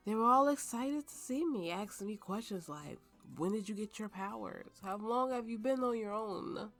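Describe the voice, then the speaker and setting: A woman playing a tipsy, haunted woman with magical powers, telling her story slowly and haltingly in an intimate ASMR roleplay over music. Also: medium pitch. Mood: reminiscing. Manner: halting, drunken rambling with frequent laughs. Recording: voice over soft background music.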